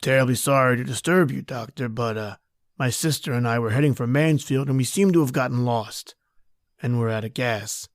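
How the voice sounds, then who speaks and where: Actor as cold, controlling killer on the run. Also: male voice, medium pitch, normal speed, no room sound, studio quality, natural voice. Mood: falsely polite. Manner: terse, flat threats in casual tough-guy phrasing. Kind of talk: old-time radio crime drama acting.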